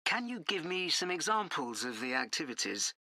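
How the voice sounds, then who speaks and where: A woman's voice: deep voice, sing-song, moderate pace, bright, forceful tone, dry recording, clean studio sound, full character voice. A woman playing a polite teaching assistant who asks questions in a scripted dialogue. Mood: polite, curious. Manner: polite, inquiring. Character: polite, inquiring female teaching assistant on a call. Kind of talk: scripted listening-test dialogue.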